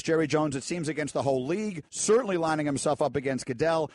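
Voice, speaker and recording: A man hosting a sports talk radio show in a studio, upbeat and brisk, often restarting his sentences. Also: on the high side, no room sound. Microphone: studio microphone.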